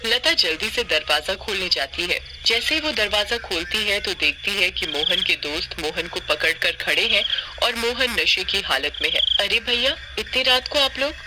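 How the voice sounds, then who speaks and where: A woman's voice: fairly low pitch, expressive, moderate pace, very noisy. A woman reading scripted narration for a cartoon story.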